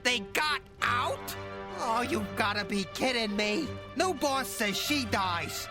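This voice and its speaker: A woman's voice: a little high, lively intonation, slow pace, over music. A woman playing a bossy orc crime enforcer in a fantasy audio drama, with a mocking, slangy, bullying manner. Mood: exasperated.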